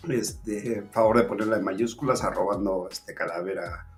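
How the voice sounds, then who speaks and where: A man hosting an online interview show, speaking in a halting way with frequent fillers. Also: on the low side, faint music behind. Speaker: host.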